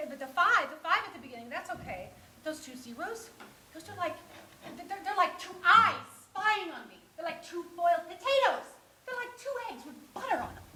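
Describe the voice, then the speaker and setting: An actress playing an anxious, clumsy, lovelorn woman in a theatrical monologue, halting and scolding herself with dramatic swings. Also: high-pitched, bright, forceful tone, full character voice. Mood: superstitious, exasperated. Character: anxious, clumsy, lovelorn mistress rehearsing a dreaded phone call. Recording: echoey room with faint noise.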